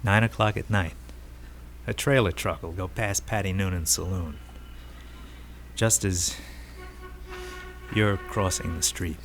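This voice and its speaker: A man's voice: sits low, takes it slowly, creaky, pauses often, a bit bright, heavily acted. An American actor in a radio drama playing a brash, restless army buddy, a self-assured ladies' man who speaks in emphatic, colloquial phrases. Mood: matter-of-fact, firm. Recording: noisy old radio drama recording.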